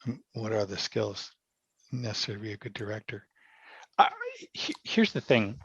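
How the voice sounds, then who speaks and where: A man talking casually as an interview guest, explaining through anecdotes, often with halting starts. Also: fairly low pitch, fairly even intonation, gravelly, fairly bright tone, husky, no room sound, dull audio. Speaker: podcast guest, stage actor and director.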